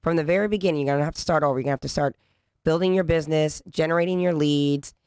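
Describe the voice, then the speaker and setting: A woman hosting a podcast interview, pressing her guest with repeated follow-up questions and rephrasing to dig deeper. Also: very fast, a little mellow, clean tone, no echo. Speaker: podcast host.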